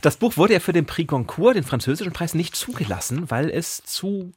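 A man's voice: fairly high pitch, very animated, a little fast, husky, no room sound. A man talking through books as a podcast host, articulate, engaged and reflective. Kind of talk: conversational book discussion.

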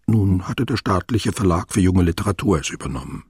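Narrator for literary radio drama: deep, heavily husky, sober and observant, detached storytelling. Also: male voice, animated, a little fast, a little mellow, no echo, clean studio sound, exaggerated voice. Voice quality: very husky, low.

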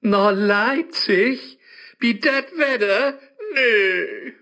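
An actor playing a sly, scheming male devil who lives in a phone app, with a smooth, sneering voice, in a rhymed radio play. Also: high-pitched, drawn-out words, sharp tone, full character voice.

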